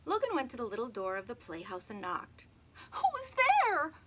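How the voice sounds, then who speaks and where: A woman reading a children's chapter book aloud, addressing young listeners in an expressive storytelling manner. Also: mid-range voice, a little fast, background noise. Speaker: read-aloud host.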